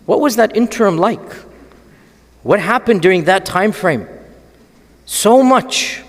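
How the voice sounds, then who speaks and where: A man giving an animated, storytelling religious lecture and often asking the audience rhetorical questions. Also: sits high, slow pace, big-room sound. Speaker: Islamic lecturer.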